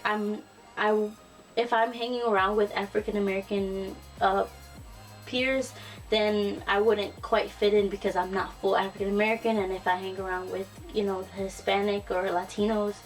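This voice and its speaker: A young woman chats casually to the camera, relaxed and full of ums and self-corrections. She sits a little back from the mic, so her voice sounds somewhat distant. Faint music plays softly underneath. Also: medium pitch. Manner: relaxed and chatty, frequent ums and restarts. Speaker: YouTuber. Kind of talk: casual tag video.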